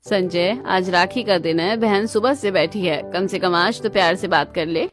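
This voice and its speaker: A woman voicing a gentle, worried middle-aged mother in a family cartoon, softly pleading and admonishing. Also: fairly low pitch, normal speed, pitched below the performer's own voice, lightly acted. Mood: gently scolding.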